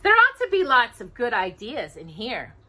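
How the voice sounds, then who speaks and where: A woman's voice: a little high, wildly swooping pitch, moderate pace, pushed and bright, voice pushed up, full character voice. A woman voicing a hopeful, sweet young heroine in a children's storybook, earnest and full of wonder. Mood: dreamy, thoughtful.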